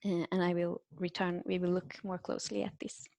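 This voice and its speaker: A woman's voice: lowish voice, flat delivery, creaky, a little mellow, no room sound. Woman giving an academic talk. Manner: measured, frequent "uh" fillers, explanatory. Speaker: linguistics researcher presenting a talk.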